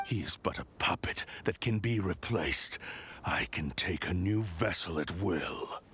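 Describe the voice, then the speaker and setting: A man's voice: low voice, expressive, a little slow, fairly bright tone, slight background noise. An actor voicing an arrogant cursed blade spirit that possesses a man, speaking in menacing, grandiose proclamations. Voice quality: gravelly, commanding. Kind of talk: game voice acting. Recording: game soundtrack music behind.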